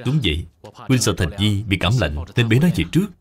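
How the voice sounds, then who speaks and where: An actor playing an affable, polite elder brother, a merchant in an animated tale of ancient China. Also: male voice, lowish voice, brisk. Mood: calm. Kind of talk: animated film dubbing.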